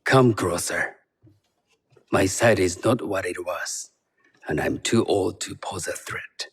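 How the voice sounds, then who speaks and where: An actor playing a shrewd elderly master in a video game, speaking in a deliberate, unhurried way. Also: male voice, deep voice, a bit bright, breathy edge, exaggerated voice. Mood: shrewd, appraising. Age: older. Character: frail-sighted old master, shrewd, slow and unhurried. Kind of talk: video game cutscene acting.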